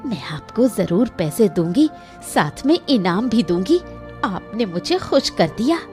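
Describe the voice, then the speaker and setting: A woman voicing a spiteful, scheming old village woman in an animated moral story, speaking with bitter drama. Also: mid-range voice, unhurried, faint music behind. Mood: gloating.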